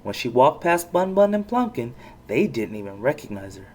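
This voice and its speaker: A parent reading a children's storybook aloud with expressive narration. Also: mid-range voice, normal speed, slight background noise.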